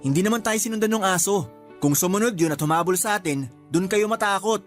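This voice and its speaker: A young Filipino nephew played by a male actor with a high voice. He is flippant and exasperated, putting on bravery in a radio drama over faint music. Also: fast, natural voice. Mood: teasing, casual. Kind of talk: Tagalog radio drama acting.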